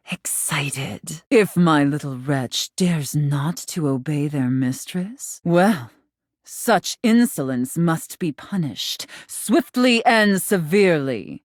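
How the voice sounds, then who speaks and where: A commanding dominatrix from a video game, speaks in a low register with highly animated swings of pitch. She is imperious and teasing, choosing formal, condescending phrases and placing them deliberately. The sound is dry, with no room around it. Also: no room sound. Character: imperious, teasing dominatrix, a living safe given human form. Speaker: voice actor. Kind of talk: scripted video game character acting.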